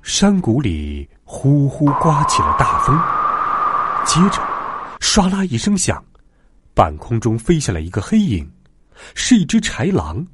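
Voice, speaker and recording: Very animated male children's storyteller. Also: medium pitch, a little slow. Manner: addresses young children in simple, animated phrases. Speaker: children's bedtime story host. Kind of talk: expressive storytelling narration for children. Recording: music behind the voice. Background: background music.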